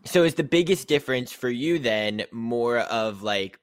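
A chatty interview host who asks long, meandering questions full of fillers. The voice sits at a middle pitch. It is recorded close, in a dry room with no echo. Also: lively intonation, measured pace. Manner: chatty and rambling, with many fillers. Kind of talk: casual interview chat.

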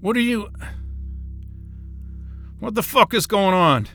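A man's voice: sits high, expressive, slow, pushed and bright, soft background music, full character voice. An actor playing a hot-tempered, sarcastic male scientist in an audio drama, agitated, profane and combative. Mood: dazed, confused. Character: hot-tempered, sarcastic male astrophysicist trapped in a remote station.

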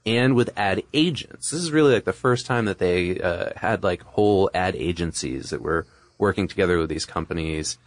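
Man, deep voice, dry close sound, podcast co-host, discursive conversational explaining. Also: moderate pace, no echo.

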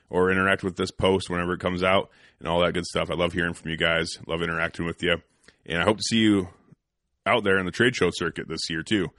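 A man's voice: low voice, sharp tone, no room sound, clean studio sound. A man hosting a podcast in casual chat, laid-back, swearing freely and laughing often. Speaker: podcast host.

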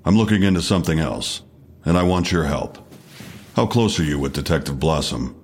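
An unflappable male detective, with an extremely deep voice. He speaks in a measured, official way, probing steadily as in an audio drama interrogation. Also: expressive, darkish tone, breathy edge, exaggerated voice.

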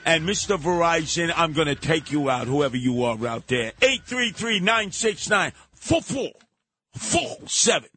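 Forceful New York talk radio man. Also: a little high, expressive, a little slow. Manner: combative, emphatic, slangy storytelling. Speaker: radio talk show host. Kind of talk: impassioned rambling talk radio monologue. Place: radio studio. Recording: music playing behind the voice.

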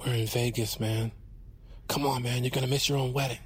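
Rambling, defensive goofball buddy. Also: male voice, mid-range voice, fairly even intonation, a little fast, slightly rough. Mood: teasing. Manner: rambling, casual slangy excuses. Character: boastful, defensive goofball male bounty hunter and buddy. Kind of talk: naturalistic comedic audio drama.

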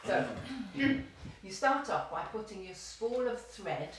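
A woman's voice: mid-range voice, animated, slow pace. An actress in an audio play voicing a practical woman with a patient, instructive way of talking. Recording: very echoey room, distant, faint noise.

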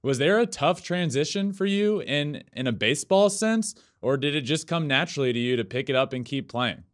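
A man's voice: fairly high pitch, animated, brisk. A man hosting a conversational sports interview, speaking in an enthusiastic, effusive manner.

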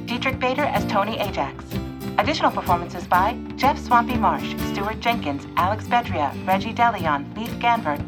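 A woman delivers a scripted credits read as an announcer. Her voice sits at a medium pitch, and music plays clearly underneath.

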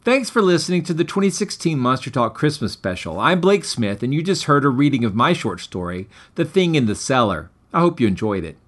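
A man narrates in a middle-pitched voice. His delivery is scripted and theatrical, with lively rises and falls. He speaks with the ornate, melodramatic manner of an author presenting his own tale. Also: brisk, hardly any pauses. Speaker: author and podcast host. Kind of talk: scripted dramatic story narration.

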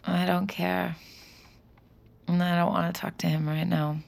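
A woman's voice: lowish voice, little pitch movement, unhurried, gravelly, darkish tone. A woman playing a guarded, sardonic young mind reader in an audio drama, speaking in a curt, weary, dry way. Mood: groggy, irritable. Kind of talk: audio drama dialogue and confessional narration.

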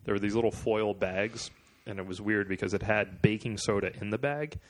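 A man's voice: on the low side, a little fast. A young man co-hosting a podcast, joking with friends in a teasing, playfully boastful way. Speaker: podcast co-host. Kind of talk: casual banter among friends.

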